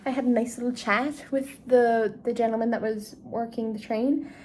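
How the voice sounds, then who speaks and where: A woman's voice: on the high side, steady tone, normal speed, a little mellow, slight background noise, low-quality recording. A woman in her twenties chatting casually to camera as a travel vlogger, self-mocking and tired at day's end. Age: 20s. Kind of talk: casual vlog chat to camera.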